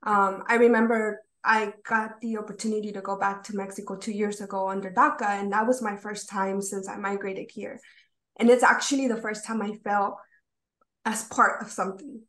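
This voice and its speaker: A young woman who grew up in the United States speaks earnestly and from the heart as a panelist on a video call. Her voice sits at an ordinary pitch, and the audio carries a roomy echo. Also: fairly even intonation, normal speed, a bit bright. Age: young adult.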